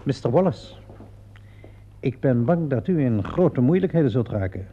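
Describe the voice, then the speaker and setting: Male police inspector, sardonic, probing, gravelly, animated intonation, radio drama, muffled old recording. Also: mid-range voice, normal speed, a little hiss or hum. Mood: mocking, stern. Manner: drawling, ironic questioning with little interjections. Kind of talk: radio play acting in Dutch. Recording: dull lo-fi radio play recording.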